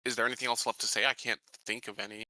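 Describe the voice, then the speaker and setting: A man's voice: mid-range voice, flat delivery, very fast, bright, forceful tone, dry recording, low-quality recording. A man playing a tabletop roleplaying game, casually and hesitantly reasoning things out aloud.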